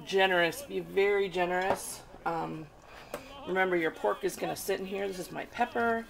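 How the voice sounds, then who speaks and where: A woman's voice: lowish voice, steady tone, normal speed, gravelly, a crowd in the background, low-quality recording. A woman filming herself at home as she cooks, explaining each step in a chatty, instructive way with many fillers, recorded on a handheld camera in her kitchen. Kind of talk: casual cooking demonstration. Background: food sizzling in a pan.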